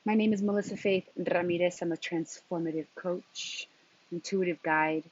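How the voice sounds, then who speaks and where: A woman speaking as a spiritual coach in a motivational talk, deliberately, in short phrases with emphatic repetition and a husky voice. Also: fairly low pitch, steady tone. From New York, of Colombian background. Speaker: transformative coach and intuitive guide. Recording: dull, lo-fi sound.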